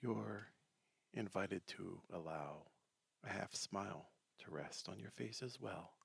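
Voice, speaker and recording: A man leading a guided meditation in a soft, breathy, gentle voice, unhurried and leaving long pauses between short phrases. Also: sits low, dry recording. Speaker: meditation teacher.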